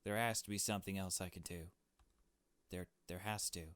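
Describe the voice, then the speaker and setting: A man voicing a frightened, earnest kidnapped young adventurer in a visual novel, speaking in a halting, pleading way. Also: low-pitched, fairly even intonation, very noisy, natural voice. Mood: despairing. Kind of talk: dramatic visual novel read-aloud.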